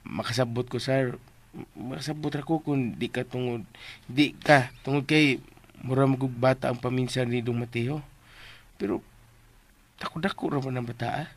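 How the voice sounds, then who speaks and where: An actor playing a cheeky, simple-minded, meddling household helper with a Cebuano accent and a raspy voice in a comic radio drama. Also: medium pitch, steady tone, moderate pace, lightly acted. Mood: cheeky, teasing.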